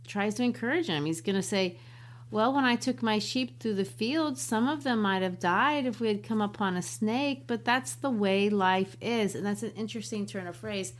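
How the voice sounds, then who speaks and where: A woman co-hosting a casual book discussion podcast, talking in a lively way and laughing easily. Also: medium pitch. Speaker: podcast co-host.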